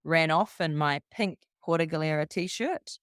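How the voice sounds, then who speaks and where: Smooth-voiced New Zealand woman storytelling. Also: sits low, fairly bright tone, dry recording, studio quality. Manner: brisk, rambling anecdote full of quoted dialogue. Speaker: podcast guest. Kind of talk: casual storytelling.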